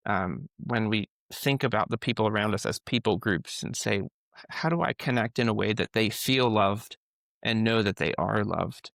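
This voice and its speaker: A man, a guest author on a podcast, speaking earnestly and haltingly, often restarting his sentences. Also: fairly low pitch, gravelly, fairly bright tone, no echo. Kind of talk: casual conversation.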